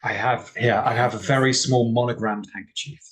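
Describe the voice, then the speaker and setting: A man's voice: mid-range voice, fairly even intonation, a little fast, crowd noise, poor audio. A man playing a tabletop roleplaying game, speaking in character in a polite, understated way with formal wording. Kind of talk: in-character improvised dialogue.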